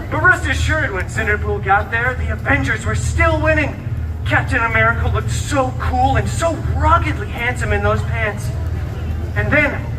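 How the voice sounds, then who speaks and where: A man's voice: extremely high pitch, background noise. A man playing a wisecracking, hyperactive antihero who tells a comic bedtime story theatrically, with sarcastic, camp asides to the audience. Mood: playful, boastful. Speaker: theme park live show performer. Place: outdoor theme park show, amplified, filmed from the audience. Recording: loud music and crowd, distant outdoor amplified sound.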